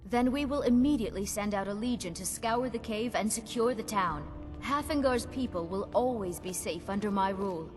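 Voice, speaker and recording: Woman playing an earnest regal ruler, medium pitch. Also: normal speed, big-room sound, soft background music, close to an everyday voice. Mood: resolute. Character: earnest, regal widowed female jarl holding court. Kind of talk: formal fantasy game dialogue.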